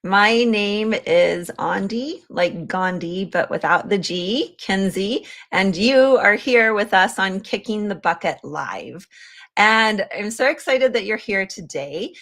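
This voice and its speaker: A woman's voice: medium pitch, lively intonation, slow pace, fairly bright tone. A woman hosting a livestream interview in an enthusiastic, chatty manner. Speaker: podcast host.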